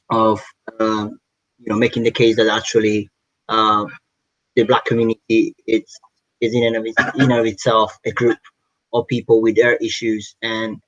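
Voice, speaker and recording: Guy on a zoom call, flat lowish voice, stumbles and restarts a lot, muffled dry audio. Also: dry recording. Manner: halting, many restarts and fillers. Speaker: workshop attendee asking a question. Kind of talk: hesitant question in online workshop. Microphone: video call.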